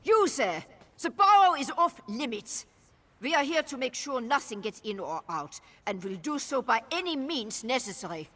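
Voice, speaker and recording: A woman's voice: high-pitched, sing-song, normal speed, sharp tone, heavily acted. Stern lady warrior guard for a game, clipped orders, lots of reverb. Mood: stern warning. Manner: commanding, clipped. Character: stern, determined female swordthane guarding a barrow. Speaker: soldier on guard. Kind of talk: video game character acting.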